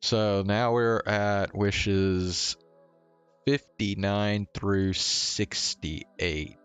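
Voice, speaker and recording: A man giving casual, low-key live commentary as he plays a game, muttering to himself. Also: fairly even intonation, extremely slow, a bit bright, smooth. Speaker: game streamer. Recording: music behind the voice.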